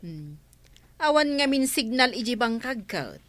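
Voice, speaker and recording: A woman's voice: a little high, very animated, unhurried, fairly bright tone, no room sound. A woman with an Ilocano accent playing a wry, gossipy village neighbour in a comedy radio drama. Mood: wry, subdued.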